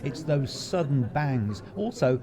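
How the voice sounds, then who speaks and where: A man's voice: mid-range voice, lively intonation, takes it slowly. British male podcast host, crowd chatter behind. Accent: British English. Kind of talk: presenting and interviewing. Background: chatter of people at a venue.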